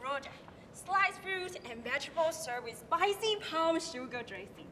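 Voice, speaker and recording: A woman's voice: extremely high pitch, expressive, normal speed, bright, forceful tone, a little hiss or hum, heavily acted. A young woman playing a pushy, chatty Singaporean auntie in a school stage skit, with a put-on Singlish accent and tag words. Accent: Singaporean English, put on. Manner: brash, Singlish tags, scolding then friendly. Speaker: student performer. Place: large auditorium stage. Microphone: stage floor mics from afar. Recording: hall reverb from far off.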